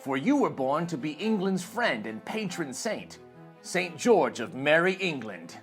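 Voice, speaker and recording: A man's voice: on the high side, very animated, soft background music. Solemn old hermit voiced by a man. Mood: solemn, gentle. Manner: solemn, measured, storybook phrasing. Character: old hermit, wise solemn male mentor revealing a destiny.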